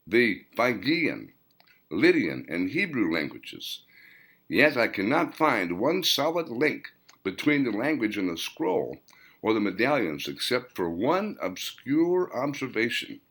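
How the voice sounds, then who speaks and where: A man's voice: mid-range voice, fairly bright tone. A man voicing a lecturing scholar. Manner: earnest, explanatory, measured.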